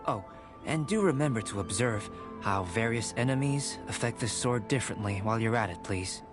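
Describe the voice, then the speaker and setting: A man voicing a composed young alchemist scholar in a video game, speaking in a measured, formal, explaining way. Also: on the low side, lively intonation, close to an everyday voice. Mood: calm. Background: game music.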